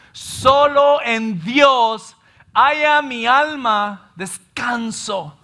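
Hombre predicador salvadoreño, voz aguda y brillante. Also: male voice, sits high. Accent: Salvadoran Spanish. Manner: animated, gestures widely, acts out listeners' complaints. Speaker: pastor. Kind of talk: sermon. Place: church stage in front of a large screen.

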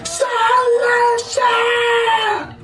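Very high, shouting young army recruit. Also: male voice, flat delivery, extremely slow, over loud music, full character voice. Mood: eager, obedient. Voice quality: high, thin, shouted replies. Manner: clipped, deferential answers. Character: nervous, obedient young male army recruit from upstate. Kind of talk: comedic military roleplay.

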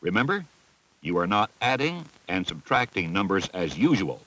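A deep-voiced American man reads scripted instructional narration for a training film. His delivery is methodical and instructive, with a lively rise and fall that sets off each step. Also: moderate pace, low-quality recording. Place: voice-over recording.

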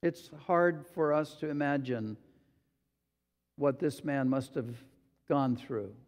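A male pastor preaching a sermon in a slow, deliberate way, sometimes acting out quotes. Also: mid-range voice, a little mellow. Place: church sanctuary. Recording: long hall reverb.

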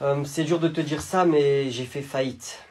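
Young man, husky and deadpan. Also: a little high, a little slow. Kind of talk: comedy sketch acting.

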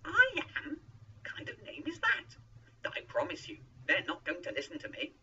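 A man's voice: very high voice, huge pitch swings, moderate pace, sharp tone, recorded from a distance, lo-fi sound, slight background noise, voice pushed up, heavily acted. A man voicing a frightened, reluctant shepherd who stammers and protests in a dramatized Bible story. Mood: nervous. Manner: stammering, exclaiming, pleading.

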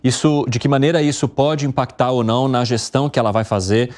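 A middle-aged man anchoring a studio news panel, briskly thanking a reporter and posing questions. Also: mid-range voice, steady tone, darkish tone.

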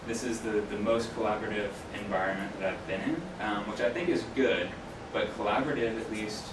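A man in his thirties giving an informal talk as a guest speaker, answering questions in a halting way with self-corrections. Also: on the low side. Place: small classroom, indoors. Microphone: distant camera microphone. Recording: roomy, distant mic, clear background noise.

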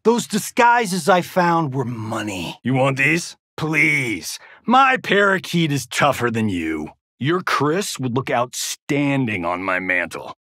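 A cocky American action-movie star from a fighting game. His voice is fairly high for a man and swings widely as he swaggers through sarcastic one-liners. He takes his time over each showy line, in a very dry, close recording. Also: bright, forceful tone, dry recording, full character voice.

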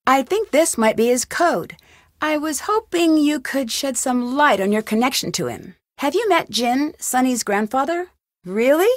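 A woman's voice: sits high, lively intonation, a little slow, no echo, clean studio sound. A woman voicing an inquisitive, friendly young amateur sleuth in a video game, speaking in a questioning, conversational way. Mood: curious. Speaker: game protagonist. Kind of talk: video game dialogue lines.

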